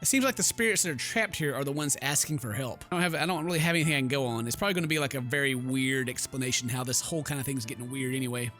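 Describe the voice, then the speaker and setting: A man's voice: medium pitch, very fast, few pauses, fairly bright tone. A man hosting a casual game playthrough, joking and exclaiming as he comments and reads text aloud. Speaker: gaming video host. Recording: faint game music behind the voice.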